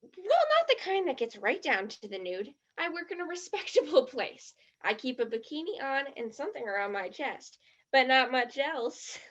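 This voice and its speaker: A woman acting a shy, fibbing teenage tourist girl in a stage play, defensive and dreamy, with a husky, breathy voice. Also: sits high, sing-song, normal speed, a bit bright, dull audio, full character voice. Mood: coy, boastful.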